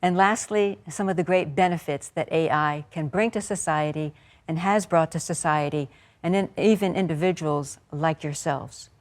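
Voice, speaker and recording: A woman's voice: deep voice, normal speed. A woman moderating a university faculty panel, asking encouraging follow-up questions.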